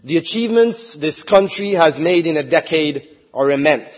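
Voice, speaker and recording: A man's voice: fairly high pitch, expressive, normal speed, dull audio. A man from Hungary, a member of parliament, giving an earnest prepared speech at a conference and rising to emphatic appeals.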